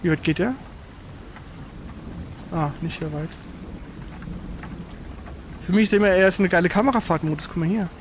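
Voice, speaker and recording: A man with a high voice commentates his gameplay in a casual, colloquial way, muttering reactions as he goes. There is clear noise behind him. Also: moderate pace, long pauses. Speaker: gaming streamer, player. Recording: noisy stream with game sound behind.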